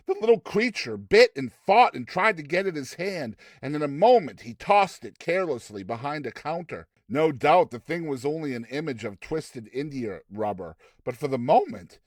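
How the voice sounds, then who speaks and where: Animated male storyteller, dry sound. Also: fairly high pitch, no echo. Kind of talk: expressive dramatized story narration.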